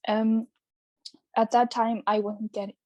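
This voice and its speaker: A woman's voice: on the high side, flat delivery, slow, warm tone, no echo. A young woman from Iceland, talking casually as an interview guest, chatty and full of ums and restarts. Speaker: guest YouTuber.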